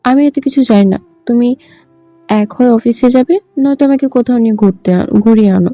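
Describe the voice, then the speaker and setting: Woman acting as fed-up young wife, really soft dark tone, highish, music underneath. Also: a little fast, no room sound, natural voice. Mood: exasperated. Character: exasperated young newlywed wife.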